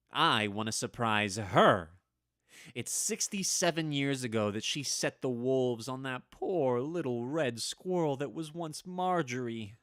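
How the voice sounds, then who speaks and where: Guy doing a nervous old henpecked man in a radio play, stammers, slow, voice jumps up and down. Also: mid-range voice, sharp tone, no room sound, studio quality. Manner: stammering, hesitant, flustered. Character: nervous, henpecked old man recalling his witch wife. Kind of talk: old-time radio drama storytelling.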